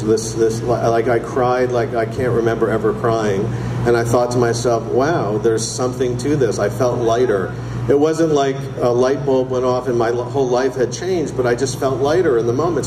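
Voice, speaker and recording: Halting middle-aged man on a stage mic. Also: medium pitch. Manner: halting, many restarts and fillers. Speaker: documentary filmmaker. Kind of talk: onstage storytelling at a screening Q&A. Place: stage before a live audience. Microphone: handheld mic. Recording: echoey venue with audience noise.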